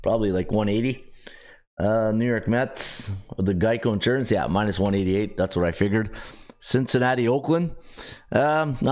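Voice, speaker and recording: A middle-aged man hosting a sports betting podcast, his voice raspy and strained, rambling through odds with fillers and self-corrections. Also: medium pitch. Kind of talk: casual sports betting rundown.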